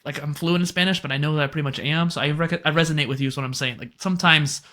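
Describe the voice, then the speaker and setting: A male podcast host speaks quickly in an upbeat, chatty manner. His tone is bright and forward, and he slips in playful jokes between his questions. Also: fairly high pitch, steady tone. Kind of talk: casual podcast conversation.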